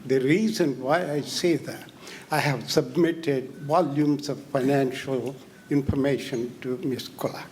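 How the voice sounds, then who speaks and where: A man's voice: medium pitch, steady tone, measured pace, darkish tone, slight background noise. A man from the audience at a press conference, pressing an insistent, detail-heavy question. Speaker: audience member asking a question.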